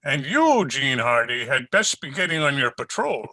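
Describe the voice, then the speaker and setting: A man's voice: mid-range voice, big pitch swings, normal speed, pushed and bright, full character voice. A man playing a blustery, teasing older police sergeant with folksy, bossy talk in an old-time radio drama.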